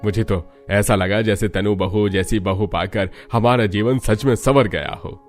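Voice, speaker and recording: A man's voice: lowish voice, measured pace, faint music behind, lightly acted. A man playing a proud, kindly older father-in-law, head of a rich cartoon family. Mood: proud, tender.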